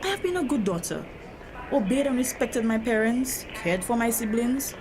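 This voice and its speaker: Woman playing a troubled daughter, higher voice, noisy recording. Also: normal speed, lightly acted. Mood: frustrated. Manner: earnest, confiding, emotional. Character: troubled, hurt grown daughter who feels trapped by her father. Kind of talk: dramatic acted dialogue. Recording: noisy location sound with steady ambience.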